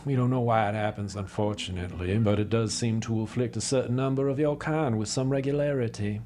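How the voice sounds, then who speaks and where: A man's voice: mid-range voice, a little fast, a little mellow, natural voice. Actor as careful, regretful male healer. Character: measured, regretful male healer delivering bad news. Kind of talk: audio drama acting.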